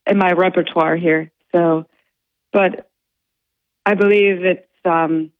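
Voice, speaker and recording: A woman being interviewed as an artist on the radio, talking in a halting way with many fillers and restarts. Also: lowish voice, dry recording. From United States. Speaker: guest artist. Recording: dry, phone-like line.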